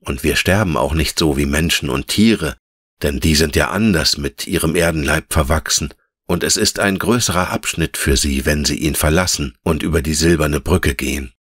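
Guy doing a wise tree elf lady, gentle n solemn, storybook style, low pitched, dry close sound. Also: slightly rough, no room sound, full character voice. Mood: gentle, explaining. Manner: measured, patient, lyrical explaining. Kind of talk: fairy-tale audiobook character.

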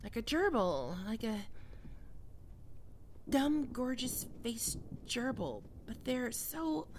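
A young catgirl, acted by a woman, speaks very slowly in a high, creaky voice. She is prickly and easily flustered, teasing and halting with a soft streak, as in an intimate ASMR roleplay. There is clear noise behind her. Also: very animated, heavily acted. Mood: shy, flustered.

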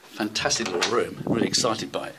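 A man's voice: on the low side, a little fast, heavy background noise. Rambling man filming a hotel tour. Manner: rambling, many fillers and restarts. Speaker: travel vlogger. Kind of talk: handheld walking tour narration. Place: hotel rooms and seaside street. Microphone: handheld camera.

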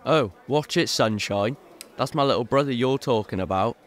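An actor playing a blunt, teasing, protective older brother. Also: male voice, medium pitch, moderate pace, natural voice. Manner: blunt, mocking. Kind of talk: audio drama acting.

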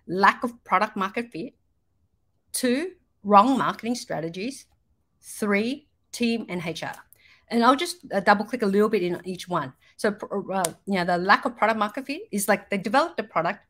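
A woman's voice: medium pitch, animated, normal speed, a bit bright. Middle-aged woman explaining in a presentation. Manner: explanatory, checking in with "right?" and "you know". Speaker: startup marketing speaker. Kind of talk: slide presentation to an online audience. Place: indoors, on a video call.

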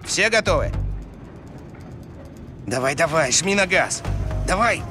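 A man's voice: on the high side, lively intonation, slow, music in the background. A man playing an earnest, urgent Russian special forces comrade in a military shooter game dub. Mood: urgent, commanding. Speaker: squad member giving orders. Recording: loud car and street noise behind. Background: vehicle engine and city noise.

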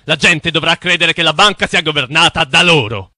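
Forceful, imperious cartoon villain mastermind. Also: male voice, fairly high pitch, moderate pace, no room sound, clean studio sound. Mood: commanding. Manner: imperious, scheming. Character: masked supreme leader of secret bankers, menacing, commanding. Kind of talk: animated villain acting, Italian dub.

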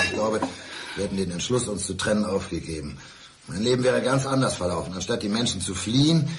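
An actor in a German radio crime drama playing a bitter abandoned ex-husband, mock-polite, deliberate and accusing, set on revenge. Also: male voice, sits low. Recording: roomy studio sound, faint noise.

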